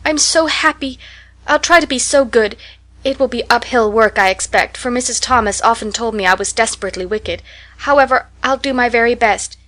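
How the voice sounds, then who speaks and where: A woman's voice: fairly high pitch, brisk, a bit bright, natural voice. A woman voicing an eager, talkative orphan girl who speaks in a breathless, imploring, dreamy way in an audiobook. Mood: overjoyed. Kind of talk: audiobook character voice.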